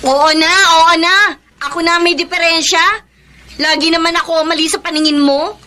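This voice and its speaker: A woman's voice: very high-pitched, little pitch movement, normal speed, sharp tone, a little hiss or hum. An actress playing a bitter, jealous, defiant woman in a Filipino radio soap, with a Filipino accent and a sarcastic, combative, theatrical delivery. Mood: irritated, scornful.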